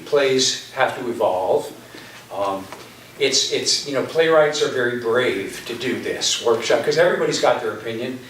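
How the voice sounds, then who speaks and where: A man with a mid-pitched voice gives an informal stage introduction. He talks in halting, anecdotal bursts with many pauses, picked up from a distance in an echoey, slightly noisy space. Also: fairly bright tone. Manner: halting, anecdotal, pausing often. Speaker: theater artistic director introducing a play. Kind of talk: informal live introduction speech. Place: community center stage. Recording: echoey hall, distant mic, background noise.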